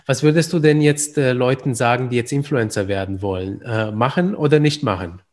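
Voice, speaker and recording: A man's voice: mid-range voice, brisk, a little mellow. A man from Romania interviewing casually, agreeable and nodding along with frequent yeses. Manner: agreeable, constant ja-ja backchannel.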